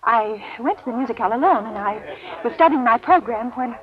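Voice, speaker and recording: A woman's voice: on the high side, lively intonation, brisk, natural voice. An actress in vintage radio drama playing a tense, proper, guarded woman who is easily rattled, speaking with prim, nervous insistence. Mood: composed narration. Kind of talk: 1940s-50s radio drama acting, dialogue and narration. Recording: dull vintage radio recording, faint music.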